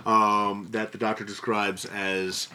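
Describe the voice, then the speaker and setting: A man chatting informally as a podcast panelist, in a halting way. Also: on the low side, flat delivery, bright, forceful tone, clean tone. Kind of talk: casual chat.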